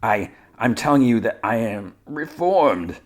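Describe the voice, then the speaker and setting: A man's voice: medium pitch, animated, measured pace, pitched above the performer's own voice, natural voice. A man voicing a defensive, fussy male toy merchant with a prim, polite, aggrieved manner. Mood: pleading.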